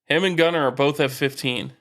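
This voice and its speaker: A man's voice: on the high side, fairly quick, a bit bright. A man co-hosting a sports podcast, chatting casually with slangy, profane fan talk.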